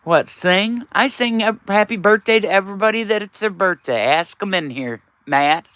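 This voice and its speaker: Man streamer, raspy, bright lively voice, teasing banter, dry close sound. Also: high voice, moderate pace, dry recording. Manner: teasing, chatty, reads out and answers viewers. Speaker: live streamer. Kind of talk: casual live-stream chat with viewers.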